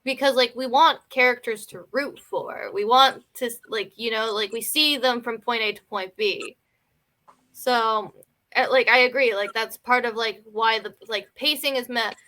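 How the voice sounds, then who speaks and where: A woman with a bright, fairly high voice talks on a film review panel. Her style is casual and very colloquial, as in a chat among peers. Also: measured pace. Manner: colloquial, peppered with like. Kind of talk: casual group film discussion.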